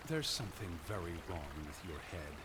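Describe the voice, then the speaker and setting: An actor playing a cautious, earnest American gentleman naturalist of the 1920s in a video game, proper and reluctant in manner. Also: deep voice, sing-song, fairly quick, heavy background noise. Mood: dry, doubtful.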